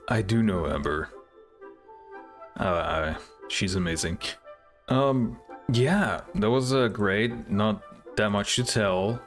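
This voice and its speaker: A man's voice: on the low side, slow pace, pauses often. A man hosting a reaction video, commenting casually and hesitantly with music behind him. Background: music from the game trailers.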